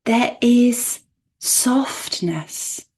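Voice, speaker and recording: A woman whose first language is Polish, speaking as a therapist guest in a thoughtful podcast conversation and laughing softly now and then as she explains. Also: fairly high pitch, animated, extremely slow, slightly rough.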